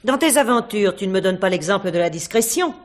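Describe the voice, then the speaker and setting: Actress as a proud wife, wildly animated voice. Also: female voice, mid-range voice, quick pace, lightly acted. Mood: haughty, defiant. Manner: theatrical, indignant. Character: proud, defiant adulterous wife and theatre administrator. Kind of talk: French radio mystery play acting in 1950s manner.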